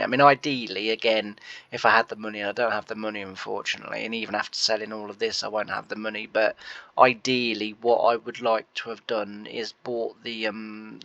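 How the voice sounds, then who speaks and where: Male game host, stuffy-nosed from a cold, rambling, deepish bright voice, dull dry mic. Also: creaky, dry recording. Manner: rambling, many fillers and restarts. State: nasal from a cold. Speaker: gameplay host. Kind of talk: casual gameplay commentary.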